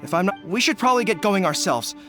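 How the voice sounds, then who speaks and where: An actor playing a composed, dutiful military officer in an anime game, formal and thoughtful. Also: male voice, sits high, lively intonation, quick pace. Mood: concerned. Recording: game music over dialogue.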